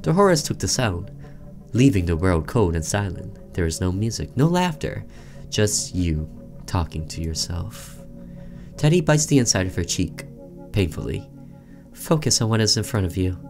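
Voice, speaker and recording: Deep-voiced man narrating a game story. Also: big pitch swings, moderate pace, a little mellow, faint music behind. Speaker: gaming video host. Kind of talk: reading visual novel narration aloud with casual commentary.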